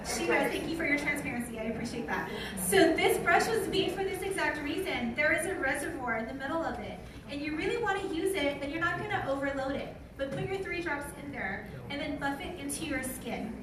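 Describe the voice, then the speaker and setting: A woman's voice: high-pitched, expressive, a little fast, hardly any pauses. An American woman giving a live product training, speaking informally and putting questions to her audience. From lives in Utah, United States. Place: large room with an audience. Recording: echoey room, distant mic, noisy.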